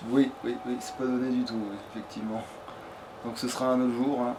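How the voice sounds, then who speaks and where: A man's voice: medium pitch, flat delivery, a little fast. A man doing casual let's play commentary, slangy and sweary, thinking aloud as he plays. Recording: noisy home gaming capture.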